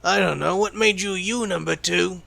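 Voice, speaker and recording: Man playing a gravelly, callous, sarcastic secret agent. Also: high-pitched, fairly even intonation, moderate pace, bright, forceful tone, dry recording, full character voice. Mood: taunting. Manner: flippant, sardonic, teasing. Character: callous, sarcastic male secret agent who jokes about killing. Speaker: voice actor in audio roleplay. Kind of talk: ASMR roleplay acting.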